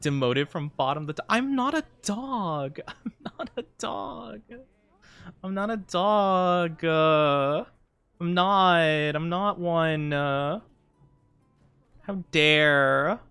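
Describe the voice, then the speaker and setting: A man's voice: high-pitched, animated, drawn-out words, sharp tone, smooth, no room sound, faint music behind. A man streaming live, chatting casually with viewers in a playful, mock-offended way, laughing often, his voice wearing thin. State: losing his voice. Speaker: VTuber streamer.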